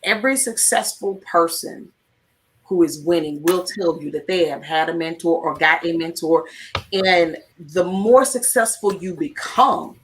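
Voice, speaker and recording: A woman's voice: fairly low pitch, a bit bright, far from the mic, poor audio. A woman hosting a live talk show, giving emphatic numbered tips in a teaching tone. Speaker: host. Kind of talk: motivational live-stream talk.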